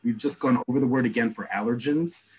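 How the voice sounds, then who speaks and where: A man teaching an online class, explaining terms and repeating each word slowly. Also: medium pitch, slightly rough, poor audio. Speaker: Hebrew teacher. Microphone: video call.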